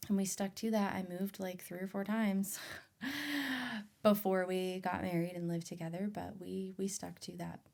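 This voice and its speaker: A woman's voice: medium pitch, steady tone, unhurried, gravelly, darkish tone. A woman speaking candidly and informally as a podcast guest, telling her personal story in a casual way. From remote rural island.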